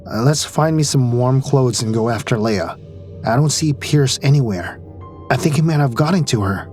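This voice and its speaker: A man's voice: medium pitch, moderate pace, darkish tone, soft background music, close to an everyday voice. A man playing a wry, pragmatic space crewman in an audio drama, dry and a little exasperated. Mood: tense, pleading.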